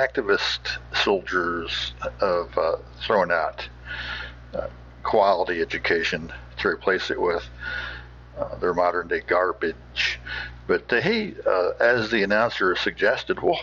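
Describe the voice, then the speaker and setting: An American man hosting with casual, opinionated commentary in a folksy, halting, rambling way. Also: lowish voice, gravelly, bright, forceful tone, husky, dull audio. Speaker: host.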